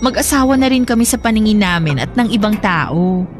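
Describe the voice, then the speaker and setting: A woman's voice: medium pitch, animated, fairly quick, close to an everyday voice. Woman acting a practical girlfriend in romantic drama. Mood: firm, defensive. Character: contented adult girlfriend, practical, unconvinced about marriage. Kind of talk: Filipino audio love-drama acting. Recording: music bed behind the voice.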